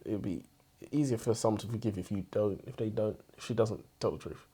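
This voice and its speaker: A man's voice: lowish voice, a little fast, warm tone, breathy edge. A man in casual debate as a book review co-host, challenging, sardonic and sweary.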